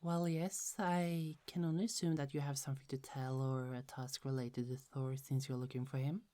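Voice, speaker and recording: A woman voicing a gentle, chatty young goddess in an ASMR roleplay, speaking softly with frequent little laughs. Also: rumbling low, level tone, normal speed. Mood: friendly. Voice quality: soft, half-whispered, giggly.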